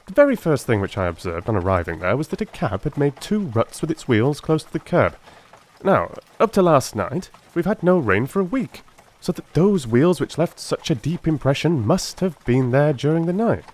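A man voicing a brisk, self-assured detective who lectures precisely and emphatically, in a dramatised Victorian audiobook. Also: fairly high pitch, sing-song, darkish tone. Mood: confident, animated.

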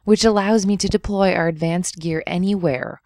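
A woman playing a proud, hot-headed military general in an audio drama, formal and defiant, with a husky voice. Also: on the low side, fairly even intonation, normal speed, a little mellow, dry recording, clean studio sound, close to an everyday voice. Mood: defensive, formal.

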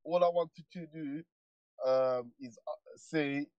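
A man's voice: a little high, takes it slowly, darkish tone, no echo, muffled recording. A man calls in to a livestream and chats casually, rambling in laid-back street slang. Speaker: caller. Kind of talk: casual call-in chat.

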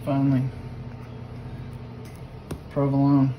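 A man's voice: mid-range voice, completely flat delivery, takes it slowly, lo-fi sound. A middle-aged man casually walking through a recipe in an offhand, unpolished way, recorded on a phone in a home kitchen. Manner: unpolished, offhand step-by-step delivery. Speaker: home cook presenting a recipe. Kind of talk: casual cooking demonstration. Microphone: phone camera held nearby. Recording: clearly noisy home kitchen video.

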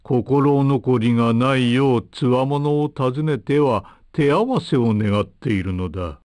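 A man voicing a dignified old warrior with a formal, measured, courteous manner, in anime fighting game style. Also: mid-range voice, a little mellow, no echo, clean studio sound, lightly acted. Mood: calm, dignified.

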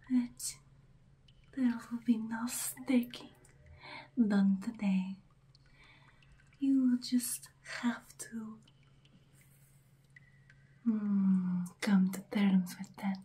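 Woman playing a slow whispering vampire bride. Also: medium pitch, warm tone, exaggerated voice. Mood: mocking. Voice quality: breathy whisper. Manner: slow, deliberate, taunting, condescending. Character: centuries-old female vampire bride, haughty, menacing, mocking. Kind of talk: ASMR roleplay, whispered.

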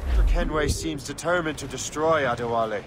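An actor playing a steady, commanding ship captain with dry humor. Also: male voice, fairly high pitch, brisk, natural voice. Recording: loud wind and sea noise.

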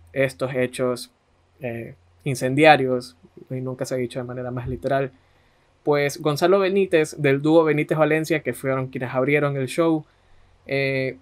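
A man co-hosting a podcast, telling a story in a conversational way. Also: mid-range voice. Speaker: podcast co-host.

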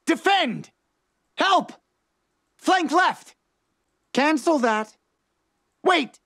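A man's voice: very high-pitched, animated, drawn-out words, a bit bright, dry recording, studio quality, heavily acted. A man voicing a manic, mischievous little male fox mad scientist in a video game, calling out short theatrical lines. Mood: excited, urgent. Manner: exclamatory, theatrical short barks.

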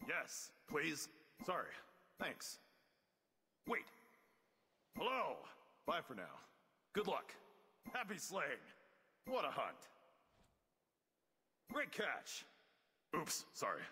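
An actor playing a boastful, swaggering monster hunter in a video game, with a cocky, theatrical delivery. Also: male voice, a little high, wildly swooping pitch, slow pace, long pauses, bright, forceful tone, in a large hall, a little hiss or hum, full character voice. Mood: brisk, upbeat. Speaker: game character voice lines.

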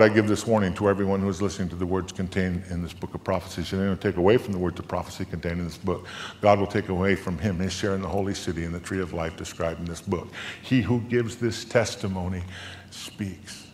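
A man in his sixties gives a solemn, theatrical live dramatic reading of scripture from a stage, with measured delivery. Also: low voice, slight background noise. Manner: theatrical, solemn, measured, swelling to loud declamation and dropping to hushed tones. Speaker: dramatic reader reciting scripture. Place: large theatre stage, indoors. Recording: echoing hall, long reverb.

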